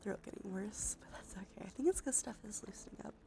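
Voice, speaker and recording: A woman streamer chatting in a soft, breathy, often whispered ASMR style, murmuring gently as she thinks aloud. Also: medium pitch, fast. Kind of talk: cozy ASMR game stream chat. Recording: soft background music. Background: music.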